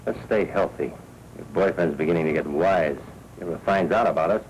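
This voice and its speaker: A man's voice: low voice, brisk, darkish tone, lo-fi sound. An American actor playing a wary secret lover, a cool, slangy tough guy in 1940s film noir style. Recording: noisy 1940s film soundtrack.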